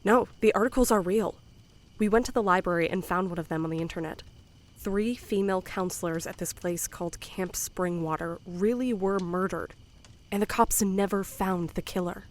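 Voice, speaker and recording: A woman's voice: fairly low pitch, moderate pace, lightly acted. A woman playing a serious, cautious young female camp counselor in an audio drama, earnest and plainspoken. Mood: insistent.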